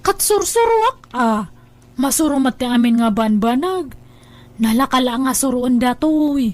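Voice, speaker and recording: A woman plays a young working daughter in an Ilocano audio drama, with a very high voice. She has an Ilocano Filipino accent and an offhand, self-indulgent manner. Her talk is dotted with drawn-out hums and casual brush-offs. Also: animated, darkish tone. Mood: pleased.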